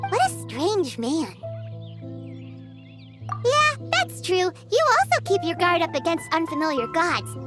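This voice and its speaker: A woman voicing a tiny, cheerful fairy girl companion in a video game, with a squeaky childlike voice and chirpy, expressive delivery. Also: measured pace, pauses often, exaggerated voice. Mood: puzzled, thoughtful. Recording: loud children's game music behind. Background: playful tinkling music.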